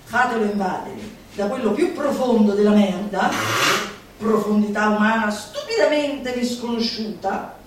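A woman performer reading a manifesto as theatrical declamation, deliberate and declamatory, with dramatic pauses. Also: mid-range voice. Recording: roomy, echoing, distant mic, faint noise.

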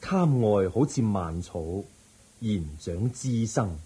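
Solemn Buddha, very dark low voice, unhurried, husky, Hong Kong Cantonese accent, radio drama. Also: male voice, exaggerated voice. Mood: calm, solemn. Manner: measured, calm, didactic. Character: serene, solemn male Buddha preaching wisdom to householders.

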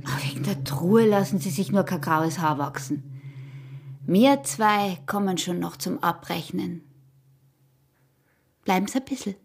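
An actress playing a sly, flirtatious peasant wife in a radio drama, speaking Austrian dialect in a teasing, taunting way. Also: female voice, on the low side, a little slow, vocal fry, long pauses, breathy edge, exaggerated voice.

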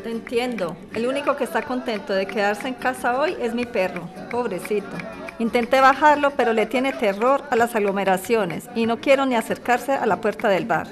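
A woman's voice: on the high side, normal speed, close to an everyday voice. A woman playing a chatty, caring and concerned neighbor in an audio drama.

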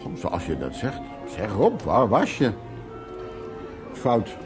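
A man's voice: lowish voice, wildly swooping pitch, slow, over music, exaggerated voice. An actor playing an evasive, hard-drinking man in a radio drama, telling his stories in a rambling, teasing way. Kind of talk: Dutch radio drama acting.

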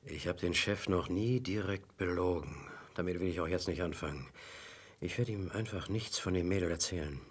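A man's voice: deep voice, moderate pace, breathy edge. An actor playing a brash, coarse henchman in a radio drama, taunting and volatile. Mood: sly, mocking. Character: Ricardo, brash mocking male henchman of a crook. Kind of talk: German radio drama acting.

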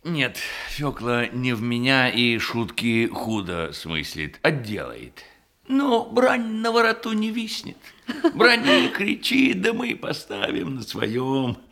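A brash, scheming comic liar in a theatrical radio verse comedy. His forceful, bright voice swings wildly up and down. He drawls out boasts and conspiratorial asides with exclamatory relish. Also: medium pitch, very animated, takes it slowly, gravelly, full character voice. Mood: defiant, gleeful.

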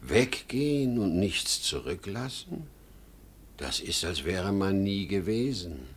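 A man's voice: sits low, big pitch swings, takes it slowly, breathy edge, exaggerated voice. An actor playing a desperate, worn-out salesman in his sixties, urgent and pleading, in 1950s German radio drama style. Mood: anguished. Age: older. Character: desperate worn-out 63-year-old salesman plotting his death.

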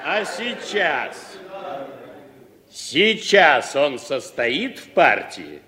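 An actor playing a formal, probing male prosecutor in a 1960s Soviet radio drama, deliberate in manner. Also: a little high, sing-song, bright, forceful tone, heavily acted. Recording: reverberant courtroom-style radio recording.

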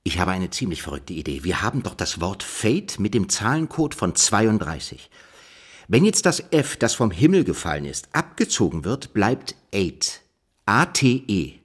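A husky man on the low side, playing a learned code-breaker in a radio drama. He muses and questions with very lively, swinging intonation. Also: moderate pace. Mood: excited reasoning. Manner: musing, erudite, questioning. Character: pensive male code-breaker puzzling over word riddles. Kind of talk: German radio play dialogue.

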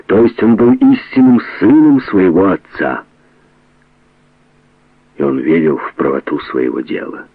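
Man reading as grave, gravelly bearer of secrets. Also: lowish voice, sing-song, measured pace, pauses often, phone-quality sound, full character voice. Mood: solemn, emphatic.

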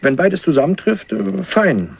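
An actor playing a confident, self-satisfied young schemer who talks casually and haltingly in a German radio crime drama. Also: male voice, high-pitched, big pitch swings, gravelly, slightly rough, exaggerated voice. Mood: breezy, confident. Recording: muffled, phone-like 1980s radio recording.

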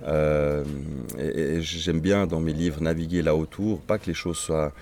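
A man whose first language is Swedish, a crime novelist answering interview questions in a reflective, halting way with many restarts. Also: extremely low pitch, fairly even intonation, a little mellow, slight background noise.

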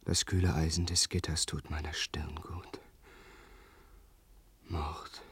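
An actor playing an anguished, brooding young lord, breathy and melodramatic, in a radio play. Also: male voice, rumbling low, level tone, takes it slowly, dry recording, exaggerated voice. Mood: haunted, despairing. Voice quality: breathy, hushed. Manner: haunted, melodramatic. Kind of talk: German radio play acting.

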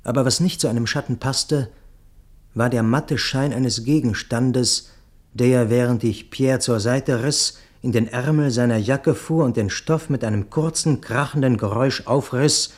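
A man acting as a grave witness in a literary radio play, recounting dark events in the first person. He speaks deliberately, with a medium-pitched voice and a measured, literary delivery. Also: lightly acted. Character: grave male witness recounting a deadly night attack.

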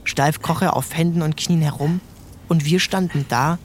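A man's voice: fairly high pitch, normal speed, a little hiss or hum. A man giving scripted literary narration as the narrator of an audio drama. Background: faint music.

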